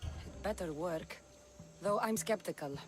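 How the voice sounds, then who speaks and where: A woman's voice: fairly low pitch, unhurried, faint music behind, natural voice. A woman playing a sardonic, skeptical young mercenary heroine in a video game, often exasperated. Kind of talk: video game cutscene acting.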